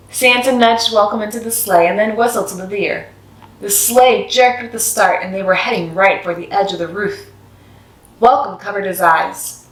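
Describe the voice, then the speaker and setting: Woman reading a children's story, roomy sound. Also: mid-range voice. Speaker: read-aloud host. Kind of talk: expressive children's story narration. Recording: roomy echo, music behind.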